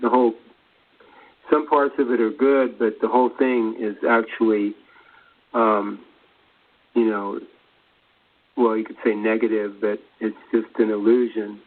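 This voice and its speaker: A man's voice: fairly low pitch, little pitch movement, measured pace, pauses often. A man chatting casually about spiritual ideas, hesitant, searching for words and repeating himself. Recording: narrow phone-like sound.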